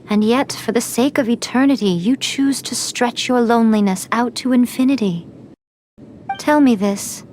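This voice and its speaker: A woman voicing a sly, teasing immortal fox spirit shrine maiden in an anime fantasy game, with a silky, deliberate delivery. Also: on the high side, darkish tone, slight background noise, lightly acted.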